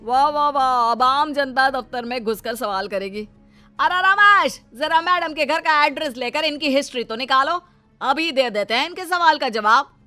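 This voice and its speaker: A woman's voice: high voice, expressive, moderate pace, sharp tone, dry recording, faint music behind. A woman voicing a rude, vindictive government official in a cartoon story, mocking and officious. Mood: sarcastic anger.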